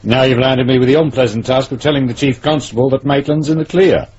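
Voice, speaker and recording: An actor playing a sardonic, grudging senior police officer with a British accent in a radio drama. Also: male voice, medium pitch, fairly even intonation, quick pace, darkish tone, slightly rough, close to an everyday voice. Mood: sardonic, dismissive. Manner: sardonic, patronising. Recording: dull old radio recording.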